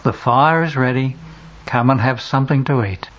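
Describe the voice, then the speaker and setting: A man doing a gentle, calm teacher figure. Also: medium pitch, moderate pace, close to an everyday voice.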